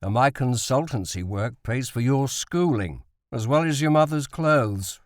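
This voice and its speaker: Man voicing father, audiobook character, gentle, modest, reassuring, measured, medium pitch, dry sound. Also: expressive, no echo, close to an everyday voice.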